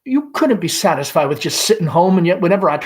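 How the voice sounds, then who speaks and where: A man's voice: sits high, big pitch swings, fast talker. A man playing a bossy, exasperated middle-aged mother who scolds and insists.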